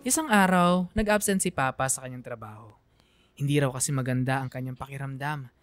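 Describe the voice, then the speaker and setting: A woman's voice: rumbling low, brisk, no room sound, faint music behind. Emotive Filipino woman narrating, dry sound. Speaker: radio drama narrator. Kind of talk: dramatic scripted narration of a letter.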